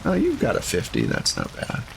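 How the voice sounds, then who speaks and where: A man with a medium-pitched voice acts as game master, narrating a tabletop roleplaying game in a relaxed conversational style. A faint rain-like noise sits behind him. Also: normal speed, vocal fry. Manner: casual, conversational.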